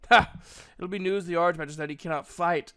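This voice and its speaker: A man voicing a proud elf mage in a storybook reading, a gruff but kindly mentor with emphatic, old-fashioned speech. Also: high-pitched, steady tone, quick pace, fairly bright tone. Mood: amused, instructing.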